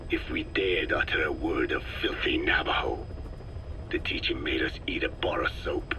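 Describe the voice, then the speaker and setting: A man playing a very old, solemn Navajo scientist in a video game, speaking slowly and formally with a breathy, whispery voice full of regret. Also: extremely low pitch, noisy background. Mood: bitter, sorrowful. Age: older. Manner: slow, solemn, measured, formal. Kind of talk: dramatic game dialogue, reflective monologue.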